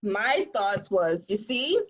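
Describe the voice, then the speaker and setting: A woman's voice: mid-range voice, lively intonation, takes it slowly, breathy edge. A woman in a casual book discussion, talking in slangy, conversational speech and acting out imagined reactions. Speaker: author and reader on a book discussion panel.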